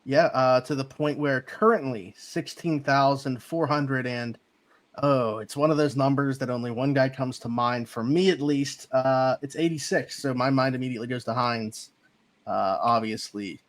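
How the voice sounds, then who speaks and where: A man's voice: mid-range voice, normal speed. Pittsburgh-accented man hosting a sports podcast. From Pittsburgh area, United States. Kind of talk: casual sports podcast chat.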